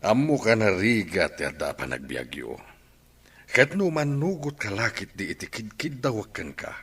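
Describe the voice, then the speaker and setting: A man's voice: on the low side, big pitch swings, normal speed, fairly bright tone, husky, exaggerated voice. A man playing an insistent, imploring father of an ailing daughter in an Ilocano radio drama.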